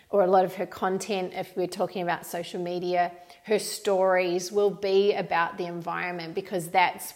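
A woman with a New Zealand accent tinged with Australian, talking through her podcast in an animated, expressive way. Her voice carries a gravelly edge of fry at mid pitch. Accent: New Zealand with some Australian. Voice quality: animated, emphatic. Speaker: podcast host. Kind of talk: solo podcast talk.

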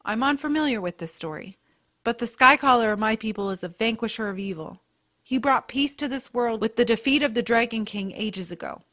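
A woman's voice: a little high, expressive, brisk, fairly bright tone. Earnest, solemn wolf-tribe warrior woman, acted. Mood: reverent, firm. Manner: formal, earnest. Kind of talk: audio drama acting.

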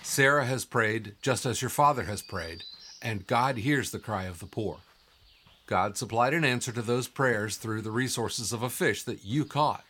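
An actor playing a calm, wise and assured guide in an audio drama, instructive and encouraging in manner. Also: male voice, medium pitch, normal speed, slight background noise, lightly acted. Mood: assured, urging. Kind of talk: scripted audio drama acting.

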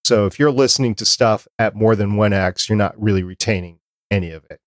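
A man's voice: lowish voice, a little fast, dry recording, clean studio sound. A man hosting a podcast, chatting casually as he interviews a guest.

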